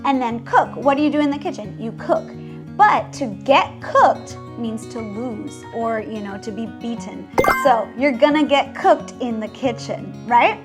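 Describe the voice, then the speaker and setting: Woman, English teacher, clear explanations, slightly high voice, clear music bed. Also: animated, unhurried. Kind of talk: voice-over lesson. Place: voice-over.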